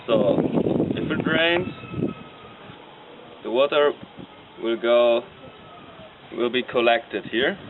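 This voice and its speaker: Slow-talking German man explaining. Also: mid-range voice. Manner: plain explanatory, halting with fillers. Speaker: design team member. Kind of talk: demonstrating a model. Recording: tinny lo-fi with faint noise.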